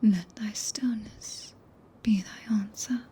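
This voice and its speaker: A woman with a British accent playing a regal, possessive witch in whispered ASMR roleplay, speaking archaically and imperiously with long pauses, close to the microphone. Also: mid-range voice, steady tone, dark tone. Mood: solemn, possessive. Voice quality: breathy, whispered. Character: regal, possessive witch, cool yet tenderly commanding. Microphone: close binaural ASMR microphone.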